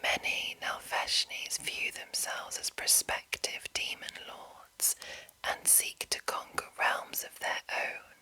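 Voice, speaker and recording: A woman hosting whispered ASMR, speaking in a soothing, breathy whisper, with a sore, breaking throat from being under the weather. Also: pushed and bright, rough recording. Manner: slow, soothing, deliberate delivery. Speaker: ASMR channel host. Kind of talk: whispered ASMR, reading and commenting.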